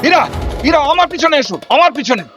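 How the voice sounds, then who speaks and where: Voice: sits high, lively intonation, fast talker. An actor playing an alert, anxious prehistoric hunter in a Bengali audio drama, urgently repeating warnings. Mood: alarmed. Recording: loud dramatic music under voice.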